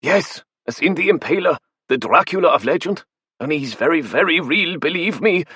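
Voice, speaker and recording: A man voicing a frightened male academic, pleading in a shrill, near-screaming voice. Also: lively intonation, moderate pace, sharp tone, husky, no room sound, heavily acted.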